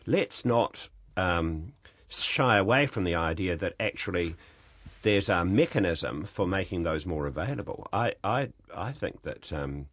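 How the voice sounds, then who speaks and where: Deep-voiced New panelist. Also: male voice, animated, measured pace, a bit bright, no room sound. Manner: stammering starts, then emphatic. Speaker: radio panelist. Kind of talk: radio panel discussion.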